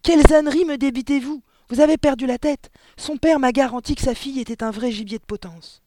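A man's voice: high voice, animated, a little fast, no room sound. A man voicing a huge, bullying, spiteful middle-aged headmistress in a storybook, barking scornfully and emphatically. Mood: outraged, bellowing.

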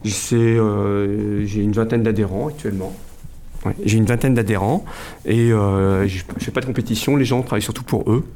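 A man's voice: lowish voice, steady tone, normal speed, dark tone, slight background noise. A middle-aged man from France, a kung fu club president, speaking hesitantly in a casual radio interview, with many fillers and restarts.

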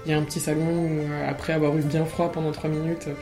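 A woman's voice: low voice, little pitch movement, quick pace. A young woman casually sharing her experience as a patient in conversation, with guitar music behind her. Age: young adult. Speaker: patient giving testimony.

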